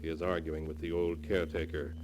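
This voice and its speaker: A man's voice: rumbling low, flat delivery, a little fast. A man narrating a 1940s radio terror tale as announcer, in a solemn, dramatic manner. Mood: solemn, ominous. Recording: noisy vintage radio broadcast, humming.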